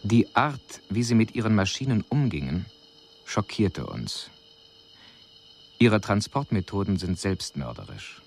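An actor playing a detached alien envoy who delivers a formal, precise, clinical report in a radio drama. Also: male voice, fairly low pitch, sing-song, measured pace, gravelly, a little hiss or hum, full character voice. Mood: detached, grave.

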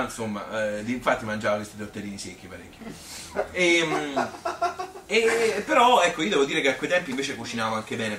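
A man with a Tuscan accent playing a chatty working-class woman who reminisces in a rambling, halting stage monologue. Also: medium pitch, lightly acted. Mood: amused, animated. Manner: rambling, colloquial, halting with repetitions. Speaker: solo stage storyteller. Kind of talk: spontaneous-sounding theatrical monologue. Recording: roomy, distant, noisy live recording.

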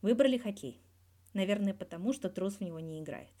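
Woman playing guarded hockey star in his thirties, soft mellow timbre, wry, candid, colloquial, audio fan fiction. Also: mid-range voice, lively intonation, brisk, close to an everyday voice. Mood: reflective. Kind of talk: scripted interview in a fan fiction audio reading.

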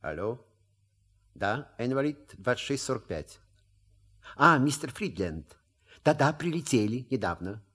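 Slow, husky, urbane manservant. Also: male voice, mid-range voice, big pitch swings, a bit bright. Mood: deferential. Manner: formal, courteous, measured. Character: urbane, observant, deferential manservant. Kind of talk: stage comedy audio play acting.

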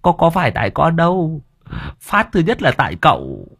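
A man doing a squeaky, stammering clumsy lackey. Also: moderate pace, creaky, slightly rough, full character voice. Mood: whiny. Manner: stammering, whiny, high and excited. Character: clumsy timid young man, stammering helper.

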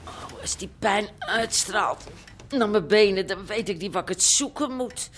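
Casting: female actor for an older radio drama woman full of aches, mid-pitched, plaintive, faint background noise. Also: unhurried, close to an everyday voice. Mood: complaining. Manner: plaintive, chatty. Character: complaining, resigned older woman with aches and pains. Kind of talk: naturalistic radio drama acting.

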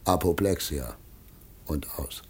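An actor playing a jealous, greedy, crude husband in a stage comedy, creaky and halting in his delivery. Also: very deep voice, background noise. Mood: grudging muttering. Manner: halting, swooping from muttering to loud. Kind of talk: classic stage comedy radio play.

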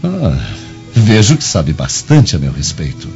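An actor playing a smug, lecherous Roman chief of the guard in a radio drama, full of insinuation. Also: male voice, on the low side, huge pitch swings, measured pace, creaky, a little mellow, full character voice. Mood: insinuating. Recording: muffled lo-fi radio drama, music underneath.

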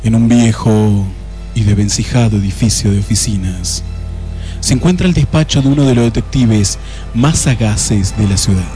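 A man's voice: on the low side, normal speed, mellow, soft background music, close to an everyday voice. A man playing the wry, theatrical narrator of a detective spoof, reading scripted radio play narration with an Argentine accent. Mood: mock-solemn. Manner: theatrical, mock-solemn.

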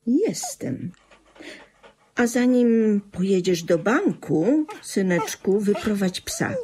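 An actress playing a cold, unsentimental middle-aged wife in a radio play, with a matter-of-fact, dismissive manner. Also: female voice, medium pitch, big pitch swings, slow pace, mellow.

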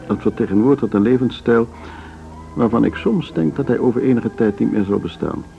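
An actor playing a genteel, cautious older board member in a Dutch radio drama, speaking formally and without hurry. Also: male voice, mid-range voice, brisk, vocal fry, natural voice. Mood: genial, nostalgic. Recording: dull radio recording with music behind. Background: music.

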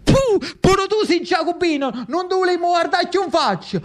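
A man's voice: very high voice, expressive, normal speed, pitched above the performer's own voice. A man voicing furious ancient Neapolitan women, scolding and spitting curses in a theatrical monologue. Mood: outraged. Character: furious ancient Neapolitan crones cursing their saint.

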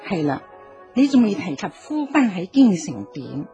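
Stately empress dowager, Cantonese radio drama: slow, formal, commanding yet compassionate, widely varied intonation. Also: female voice, lowish voice, big pitch swings, warm tone, highs cut off. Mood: indignant. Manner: formal, imperious, deliberate. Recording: music behind the voice.

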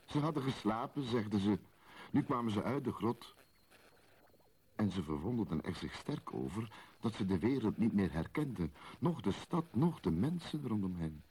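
An actor playing a solemn storyteller of a mystical legend, narrating poetically and speaking straight to the listener in a radio play. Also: male voice, fairly low pitch, animated, moderate pace, a little mellow, a little hiss or hum, lightly acted. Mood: solemn, mysterious. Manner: solemn, incantatory, addressing the listener directly.

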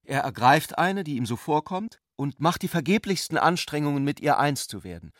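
An actor playing an intellectual, playfully ironic brother in a literary radio drama, speaking eloquently. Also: male voice, on the high side, lively intonation, normal speed, no room sound, clean studio sound, lightly acted. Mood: eager, musing.

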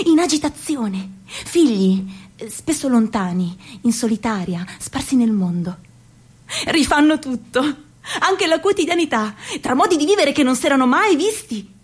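Young woman, stage-trained narrator, slow and dramatic, slightly high voice, whispers and cries. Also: expressive, husky. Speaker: narrator and performer. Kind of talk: theatrical monologue in a radio documentary.

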